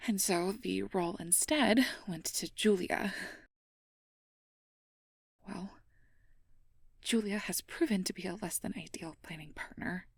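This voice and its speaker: Sweet, conscientious, anxious girlfriend played by a woman for intimate ASMR role-play; breathy, lightly creaky, hesitant and polite. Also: medium pitch, measured pace, long pauses, no room sound, clean studio sound. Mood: frustrated, reluctant. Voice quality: breathy, often whispered. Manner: earnest, hesitant, careful polite phrasing. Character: sweet, anxious, conscientious young air mage girlfriend confiding her worries.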